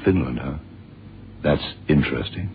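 An actor playing a dogged senior investigator, insistent and deliberate, in a 1970s radio drama. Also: male voice, very deep voice, lively intonation, gravelly, a little hiss or hum, full character voice. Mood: intent. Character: dogged, hunch-driven senior male investigator, dryly authoritative. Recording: tinny vintage radio recording with music.

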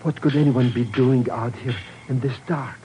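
A man's voice: mid-range voice, fairly even intonation, normal speed, warm tone, lightly acted. An actor playing a cowardly, slangy comic sidekick man in a 1950s radio drama, nervous and sputtering. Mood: scared. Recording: noisy old radio recording.

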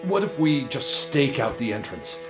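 A man's voice: medium pitch, sing-song, moderate pace, over music, close to an everyday voice. An actor playing Croft, a blunt, nervous man exploring a cellar in a radio drama. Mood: uneasy. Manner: jittery, blunt, swears.

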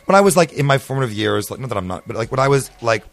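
A man's voice: mid-range voice, expressive, racing, vocal fry. A man in his twenties chatting casually and comedically, telling stories emphatically with profane quips. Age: 20s. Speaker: talk show panelist.

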